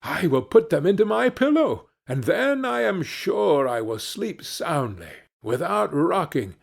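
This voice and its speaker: A man's voice: sits high, sing-song, a little slow, creaky, slightly rough, voice pushed up, exaggerated voice. A man voicing a cheerful, naive young peasant lad in a fairy tale, earnest and full of exclamation. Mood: smugly pleased. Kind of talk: fairy-tale storybook character reading.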